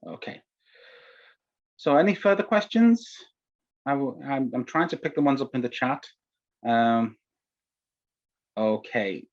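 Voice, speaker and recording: A man's voice: mid-range voice, animated, measured pace, pauses often. A man chairing an online workshop, polite and hesitant, often restarting his phrases. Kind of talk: moderating an online Q&A. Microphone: video call. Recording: dull lo-fi video call.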